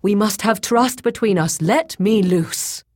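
Woman acting an urgent, proud male shapeshifter, husky-voiced. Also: lowish voice, lively intonation, normal speed, pitched above the performer's own voice. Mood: eager pleading. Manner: urgent, imploring, intense delivery. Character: proud, passionate male shapeshifter, pleading wildly.

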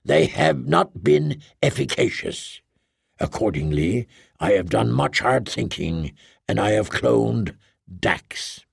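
Guy doing a low gravelly deadpan giant, slow and formal, super dry recording. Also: dry recording, heavily acted. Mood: solemn. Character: ponderous solemn male giant trader.

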